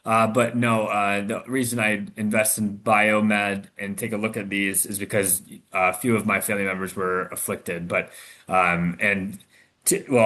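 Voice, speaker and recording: A man from Michigan, an investor answering questions on a video call, talking haltingly with many restarts and fillers. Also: fairly low pitch, pushed and bright. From Michigan, USA. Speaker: investor guest.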